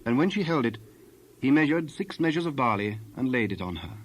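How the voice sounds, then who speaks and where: Guy narrator reading old-style bible story, medium pitch, scripted. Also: expressive, fairly quick, a little hiss or hum, low-quality recording.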